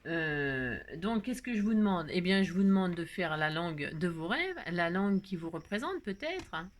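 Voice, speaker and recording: A woman's voice: lowish voice, big pitch swings, moderate pace. A woman hosting a craft video, chatting casually in a rambling, jokey way full of digressions. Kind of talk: casual chat.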